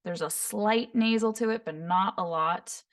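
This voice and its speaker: A woman voice actor teaching voice impressions, describing each voice in short phrases and often breaking into quick character demos. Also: medium pitch. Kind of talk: voice impression tutorial. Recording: voice somewhat far from the mic.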